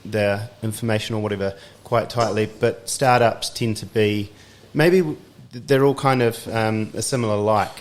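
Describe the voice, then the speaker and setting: A man hosting a podcast, asking curious questions in a relaxed, chatty way and nodding along with quick agreements. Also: lowish voice. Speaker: podcast host. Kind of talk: casual interview chat.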